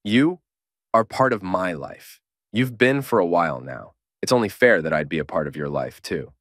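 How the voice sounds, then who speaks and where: A man's voice: low-pitched, animated, moderate pace, fairly bright tone, no echo, studio quality. A man voicing a stubborn, protective teenage boy in a fanfiction audiobook, pressing insistently with questions. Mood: determined. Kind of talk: podfic voice acting.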